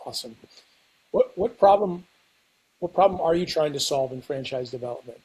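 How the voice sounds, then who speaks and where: A man's voice: a little high, animated, normal speed, a little mellow, slightly rough, poor audio. A man hosting a podcast, reading a scripted intro and asking questions, repeating words as he asks. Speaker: podcast host.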